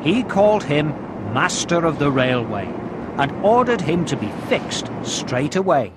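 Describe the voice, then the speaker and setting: A male narrator tells a scripted story for young children, addressing them directly. His voice sits a little high and swoops expressively, at a slow, measured pace. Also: very animated, background noise. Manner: addresses young listeners with questions and countdowns. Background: music.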